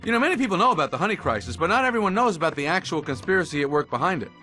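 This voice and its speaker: A man voicing an eager, wisecracking, slightly nervous young bee in a cartoon game, animated and self-deprecating, with music behind him. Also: high-pitched, racing. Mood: earnest indignation.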